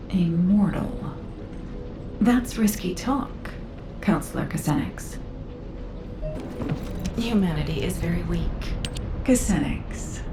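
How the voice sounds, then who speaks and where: A shrewd, probing researcher. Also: female voice, lowish voice, reverberant, exaggerated voice. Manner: measured and probing, with lively inflection. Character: shrewd female researcher, calm and challenging. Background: game music.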